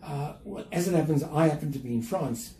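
An older man with a South African accent, talking reflectively and carefully as an interview guest, with measured, hesitant phrasing. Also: on the high side, warm tone. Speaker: interview guest, museum chief executive. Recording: roomy echo, faint background noise.

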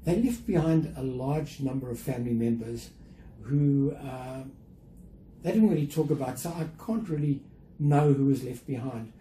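Older south african guy being interviewed, really mellow, measured and a bit hesitant, roomy sound. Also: medium pitch. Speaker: interview guest, museum chief executive. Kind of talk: reflective interview conversation. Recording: roomy echo, faint background noise.

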